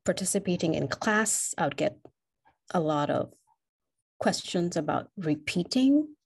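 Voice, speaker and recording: Low-voiced Kenyan woman, reflective. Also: animated, vocal fry, darkish tone, husky. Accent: Kenyan English, partly Americanized. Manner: reflective, measured storytelling. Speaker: interviewee. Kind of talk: personal interview.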